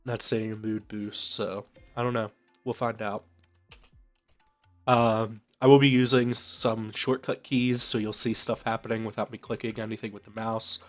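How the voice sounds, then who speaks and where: A man's voice: fairly low pitch, little pitch movement, moderate pace, soft background music. A young man with a stuffed-up, nasal voice from a cold, casually commenting on a game while thinking aloud with many fillers. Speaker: let's play host.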